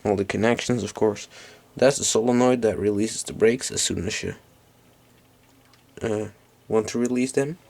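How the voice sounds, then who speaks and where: Low, creaky-voiced man thinking aloud. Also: normal speed, a little mellow. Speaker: electronics hobbyist presenting a tape recorder. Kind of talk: casual unscripted show-and-tell demonstration.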